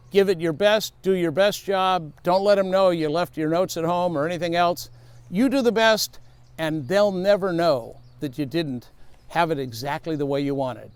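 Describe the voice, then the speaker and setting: Male safety speaker in his fifties, energetic motivational delivery, smooth high voice, dry close sound. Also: no room sound. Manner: emphatic, energetic, repeats his key rule. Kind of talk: motivational speaking tip to camera.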